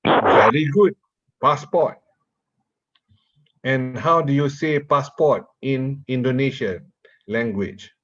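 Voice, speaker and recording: Slow-speaking Malaysian man lecturing. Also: mid-range voice, fairly bright tone, highs cut off. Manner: slow, deliberate, repeats words while asking the audience questions. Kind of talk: university lecture.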